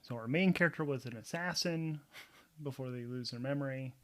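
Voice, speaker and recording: A man's voice: medium pitch, normal speed. Conversational male game master telling a story. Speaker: host, narrator and solo game master. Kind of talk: narrating a solo tabletop game audio drama.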